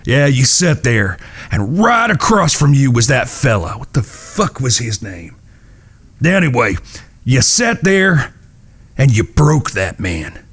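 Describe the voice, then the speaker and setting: A man playing a vengeful, coldly taunting gunslinger in a Western audio drama, with a drawl, husky and profane. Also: mid-range voice, big pitch swings, slow, a bit bright, exaggerated voice.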